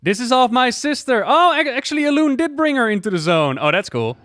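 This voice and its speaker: A man giving casual, excited reaction commentary on a video game, exclaiming and thinking out loud. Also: extremely high pitch, fairly quick, soft background music. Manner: expressive, exclamatory, thinking aloud.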